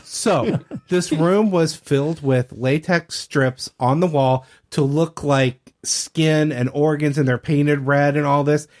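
Higher-voiced man telling casual stories. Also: steady tone, slow, few pauses, darkish tone. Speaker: podcast regular telling stories. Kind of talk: casual anecdotal chat.

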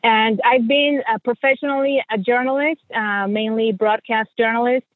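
A woman's voice: a little high, steady tone, normal speed, narrow, tinny audio. A middle-aged woman from Mexico with a Mexican accent, a native Spanish speaker, telling her story conversationally as a podcast guest. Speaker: podcast guest, financial coach and journalist.

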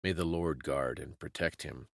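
A man giving a dramatic scripted story reading as a narrator, solemn and suspenseful, with deliberate phrasing. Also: a bass voice, gravelly, dry recording, clean studio sound. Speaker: storyteller and podcast host.

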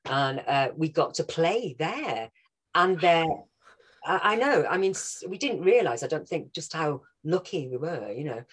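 A woman's voice: low-pitched, animated, unhurried, breathy edge. A woman from North Wales with a Scouse-tinged accent, chatting as a guest actress, enthusiastic and laughing often. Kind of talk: casual interview chat.

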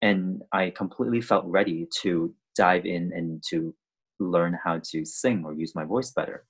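A man whose first language is Cantonese, a dancer speaking as an interview guest, thoughtful and halting, with many restarts and fillers. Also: low voice, breathy edge. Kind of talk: conversational interview.

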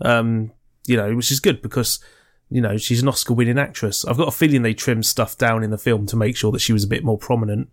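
A man's voice: medium pitch, steady tone, fast. A man co-hosting a podcast, chatting casually about a film and teasing his friend with jokes and swearing. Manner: chatty, jokey, swears freely and teases his co-host. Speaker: podcast co-host reviewing films. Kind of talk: casual chat.

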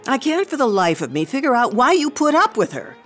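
A woman's voice: high-pitched, very animated, brisk, a bit bright, full character voice. A woman acting a snobbish, disapproving rich woman in a radio drama. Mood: disdainful. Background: music under the voice.

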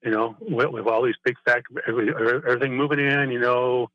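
A man's voice: mid-range voice, steady tone, brisk, sharp tone, no echo, muffled recording. A man calling into a talk radio show, rambling and repeating himself when upset. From New Mexico. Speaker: caller. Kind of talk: phone-in call.

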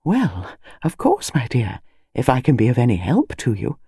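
A woman voicing a prim, gentle elderly village spinster who speaks softly and doubtfully in an audiobook. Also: a bass voice, wildly swooping pitch, moderate pace, slightly rough, dry recording, pitched below the performer's own voice, exaggerated voice. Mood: doubtful, modest. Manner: doubtful, modest, soft-spoken. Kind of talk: audiobook character voice.

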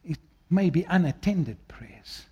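A male preacher with an Afrikaans accent and a fairly high, animated voice that jumps all over its range. He moves from ringing, declaimed lines down to hushed, low asides. His first language is Afrikaans. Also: slow, husky, dull audio. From South Africa. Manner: swings from loud declaiming to quiet, low asides. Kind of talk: sermon.

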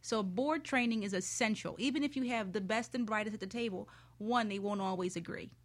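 A woman's voice: medium pitch, a little fast. A woman speaking as a panel guest, instructing conversationally and explaining with examples. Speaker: panel guest, board training specialist.

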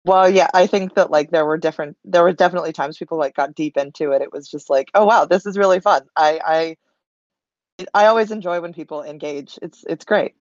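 A woman's voice: low-pitched, brisk, a little mellow, no echo, lo-fi sound. A woman panelist chatting casually, with an informal, self-deprecating way of talking. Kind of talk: casual chat.

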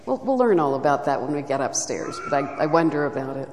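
A woman giving a reflective, soft-spoken teaching talk at a church retreat. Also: deep voice, big pitch swings, fast, a crowd in the background, noisy background. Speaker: retreat speaker. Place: church hall. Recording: echoey hall recording.

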